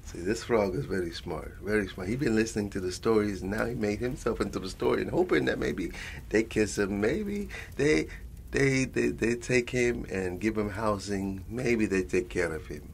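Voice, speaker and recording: Guy doing a doting old grandpa w spanish accent, low creaky voice, rambles and repeats himself, asmr bedtime story, bit of hiss. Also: moderate pace. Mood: amused, encouraging. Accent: Spanish-accented English. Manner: rambling, repeats phrases, slips between English and Spanish.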